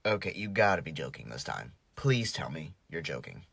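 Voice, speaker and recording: A man acting an easygoing, wisecracking, streetwise high school boy in a fan audio drama, stammering and sarcastic. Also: very animated, moderate pace, gravelly, fairly bright tone, husky, dry recording, exaggerated voice. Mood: disbelieving.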